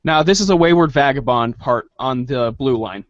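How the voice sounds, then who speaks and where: A man hosting a show in a casual, halting way. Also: medium pitch, dry recording, lo-fi sound. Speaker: host.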